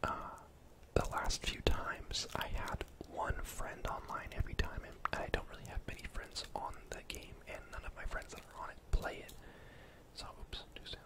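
Whispering young man, gaming ASMR. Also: normal speed. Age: young adult. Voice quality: breathy unvoiced whisper. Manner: casual, rambling, informal. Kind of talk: whispered ASMR game commentary. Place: indoor room at home.

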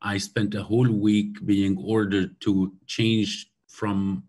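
Keynote speaker, man, 40s, from Syria: unhurried, halting delivery, somewhat low and husky. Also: level tone, a little mellow. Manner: slow, thoughtful, many hesitations. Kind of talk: lecture over video call. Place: room at home by a glass door. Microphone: laptop webcam on video call.

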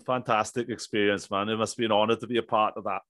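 A man's voice: fairly low pitch, flat delivery, quick pace, fairly bright tone, no room sound, clean studio sound. A man, hosting a casual, jokey interview. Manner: chummy, jokey. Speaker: podcast host. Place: small indoor home studio. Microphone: studio mic on boom arm, headphones.

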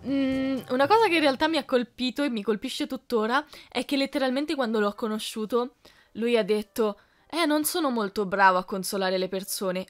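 Bright-voiced young woman chatting playfully. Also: on the high side. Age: young adult. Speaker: dubbing content creator answering fan questions. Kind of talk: casual question-and-answer chat.

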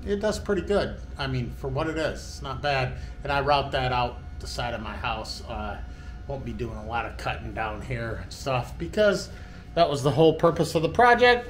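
A man with an everyday mid-range voice talks through his home workshop build, pausing often and restarting his sentences. He is recorded on a handheld camera in an indoor workshop. A faint background hum sits under his voice. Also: mid-range voice. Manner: halting, many fillers and restarts. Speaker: DIY vlogger. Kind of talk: casual walkthrough of a workshop build.

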